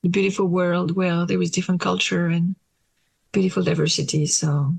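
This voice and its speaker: A woman in her late thirties from France, speaking English with a French accent, talking hesitantly with many pauses in a casual interview. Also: lowish voice, little pitch movement, vocal fry, darkish tone, husky, dry recording. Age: late 30s. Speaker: interview guest.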